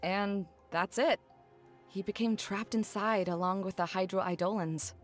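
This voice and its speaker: A woman playing a composed, cautioning guide in a fantasy video game, speaking in a measured, explanatory way. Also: medium pitch, steady tone, loud music behind the voice, lightly acted.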